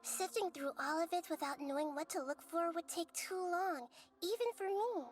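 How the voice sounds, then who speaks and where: A woman voicing a gentle, wise young girl deity in a video game, speaking in a measured, articulate, earnest way. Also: extremely high pitch. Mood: calm, explanatory. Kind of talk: scripted video game voice acting.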